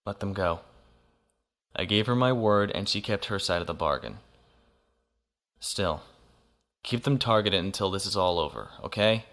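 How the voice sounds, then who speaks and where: An actor playing a composed, principled naval officer in an audio drama. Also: male voice, deep voice, animated, a bit bright. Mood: calm. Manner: measured, composed.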